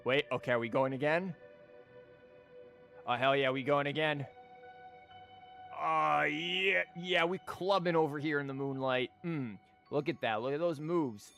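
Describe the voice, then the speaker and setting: A man's voice: on the high side, steady tone, measured pace, vocal fry, long pauses, a bit bright, faint music behind. A man streaming a game live, giving casual, joking commentary full of slang and swearing.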